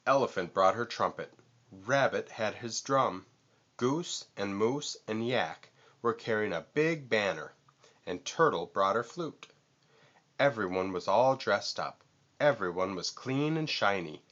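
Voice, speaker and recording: A man reading a children's story aloud in an expressive, deliberate manner. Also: fairly low pitch, highs cut off. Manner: deliberate, animated. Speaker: storybook reader.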